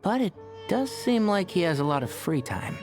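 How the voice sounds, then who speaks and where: A man's voice: high voice, sing-song, moderate pace. A man voicing a sensible young botanist in a video game, dry and matter-of-fact, with an inquisitive streak. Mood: curious, matter-of-fact. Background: soft game music.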